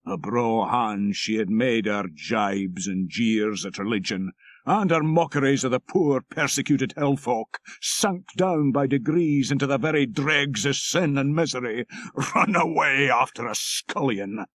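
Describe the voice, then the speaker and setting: A man's voice: medium pitch, unhurried, a bit bright, no room sound. A man voicing a dour, moralizing old Scottish shepherd in an audiobook story, preachy and emphatic. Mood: sanctimonious.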